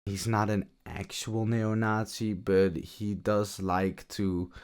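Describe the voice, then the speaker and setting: A young man with a raspy voice, tired after a late concert, talking quietly in a slangy, rambling vlog. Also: fairly low pitch, level tone, takes it slowly. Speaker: vlogger. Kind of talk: casual late-night vlog commentary.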